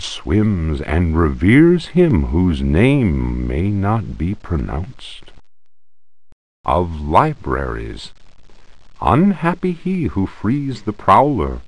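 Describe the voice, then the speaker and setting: An actor playing a grave reader of an accursed tome in a video game, solemn, ominous and theatrical. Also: male voice, deep voice, darkish tone, no room sound, heavily acted, rough recording. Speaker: narrator. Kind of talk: theatrical reading of an ancient occult book.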